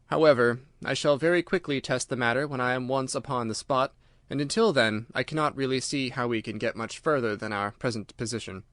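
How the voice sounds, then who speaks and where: A man voicing a keen, logical, confident gentleman detective in an audiobook, with an articulate, measured, argumentative delivery. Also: mid-range voice, no room sound, clean studio sound, close to an everyday voice. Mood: analytical, measured. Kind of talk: audiobook character dialogue.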